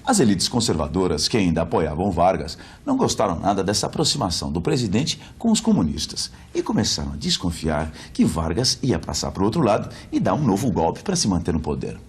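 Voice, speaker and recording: A man's voice: low-pitched, wildly swooping pitch, a little fast, hardly any pauses, husky. A man with a Brazilian accent presents a scripted educational explanation in a didactic way, posing rhetorical questions. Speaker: presenter of an educational history program.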